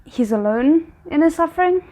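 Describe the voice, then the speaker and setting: A woman giving casual, expressive reaction commentary on a drama, thinking aloud as she goes. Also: high voice, moderate pace. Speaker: reaction video host.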